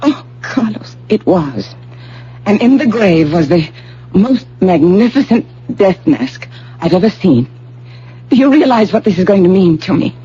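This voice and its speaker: A woman's voice: medium pitch, big pitch swings, a little slow, warm tone. An actress playing a headstrong, ambitious young female graduate student in an old-fashioned radio drama, emphatic and self-assured. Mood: pleading, eager. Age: young adult. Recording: dull, hissy old radio broadcast with hum.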